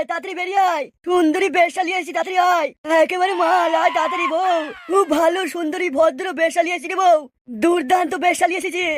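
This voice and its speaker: A woman voicing an excitable, flattering village husband in a cartoon, speaking emphatically and repeating himself. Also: very high-pitched. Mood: eager. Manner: emphatic, repetitive. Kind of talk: cartoon dialogue. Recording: noisy track with clicks.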